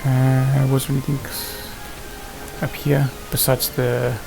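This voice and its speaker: A man's voice: medium pitch, little pitch movement, slow pace, vocal fry, rough recording. A man giving casual, hesitant gameplay commentary, muttering to himself as he plays. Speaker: gamer narrating own play. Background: game music and sound effects.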